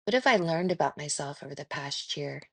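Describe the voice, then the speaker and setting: A woman leading a guided meditation in a gentle, soothing, unhurried way with pauses. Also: low voice, lively intonation, slightly rough, no room sound.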